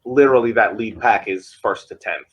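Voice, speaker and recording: A man with a husky, slightly raspy voice co-hosting a sports podcast. He talks in a casual way, circles back and restarts his sentences as he builds an argument. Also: fairly low pitch, normal speed, highs cut off. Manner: hesitant, restarts often while building an argument. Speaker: podcast co-host.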